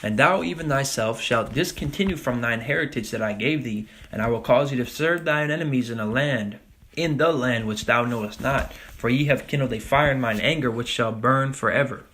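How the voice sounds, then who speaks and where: A man teaching a Bible study, talking casually with frequent "all right?" and reading scripture passages slowly and deliberately. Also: medium pitch, fairly bright tone. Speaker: religious teacher.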